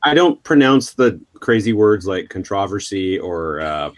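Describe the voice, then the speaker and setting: A man's voice: low voice, sing-song, a little slow. A man from North Dakota co-hosting a podcast, telling anecdotes in an easygoing, casual way. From North Dakota, US Midwest. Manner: easygoing, anecdotal, laughs as he talks. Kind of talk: casual chat.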